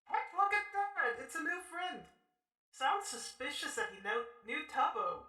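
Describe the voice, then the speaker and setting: An echoing, bright-edged chorus of voices in someone's head, acted out by a woman. It is bloodthirsty and suspicious, chanting short repetitive phrases that swing up and down in pitch for a tabletop game. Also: very animated, a little slow, heavily acted.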